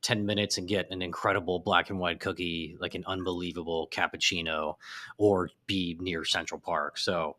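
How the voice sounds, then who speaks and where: A man chatting as a podcast guest, an animated storyteller whose voice jumps up when he gets excited. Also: low voice, moderate pace. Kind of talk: casual chat. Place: apartment in a big city.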